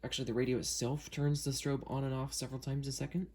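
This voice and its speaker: A man's voice: medium pitch, little pitch movement, fast talker, a little mellow, slight background noise. A man hosting an unboxing video, talking casually and hesitantly as he works through a product demo. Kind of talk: casual unscripted product demo with friends on a call.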